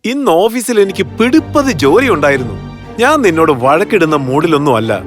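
A man's voice: fairly high pitch, very animated, normal speed, soft background music. A man playing a weary, irritable, grumbling husband in a fairy-tale cartoon, with an exasperated, complaining manner. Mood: irritated.

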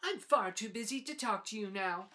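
A man voicing a brisk, fussy mother hen in a children's storybook, matter-of-fact in manner. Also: sits high, expressive, sharp tone. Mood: busy, pleased.

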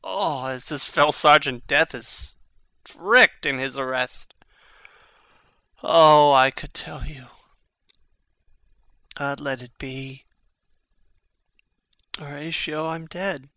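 A man acting a dying young prince in a classical tragedy, declaiming in a halting, anguished and urgent way. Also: on the high side, bright, forceful tone, no echo, exaggerated voice. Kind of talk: classical stage tragedy, dramatic audiobook reading.

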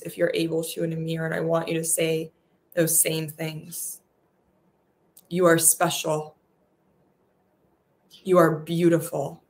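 Woman meditation guide: soft, soothing, repetitive affirmations, unhurried and even, low-set voice.

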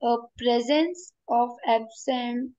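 A woman student on a video call slowly reading a text aloud, stumbling over words. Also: on the high side, warm tone, muffled recording. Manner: halting reading with restarts.

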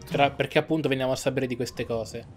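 A man's voice: mid-range voice, fairly even intonation, fast. A young man giving casual reaction commentary over soundtrack music. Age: young adult. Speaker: reaction video host. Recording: music playing behind. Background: animation soundtrack music.

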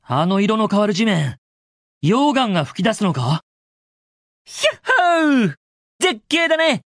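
A man's voice: high voice, very animated, unhurried, fairly bright tone, dry recording, studio quality, full character voice. A male actor voicing a cocky, daring young cartoon hedgehog hero in a video game, with cool, confident, casual delivery. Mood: excited, cocky. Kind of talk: video game voice acting, short in-game lines.

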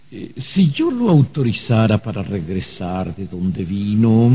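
An actor playing a fussy, flustered archangel bureaucrat in a radio drama, pompous and hesitant. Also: male voice, medium pitch, gravelly, mellow, husky, full character voice. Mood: hesitant, nervous. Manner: hesitant, pompous, hemming and hawing. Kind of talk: Spanish-language radio drama acting.

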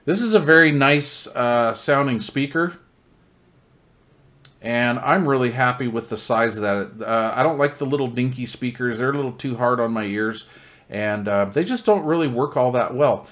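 A man's voice: medium pitch, moderate pace, a bit bright. An American man giving a casual hands-on product review in a folksy, conversational, enthusiast's manner. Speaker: radio reviewer.

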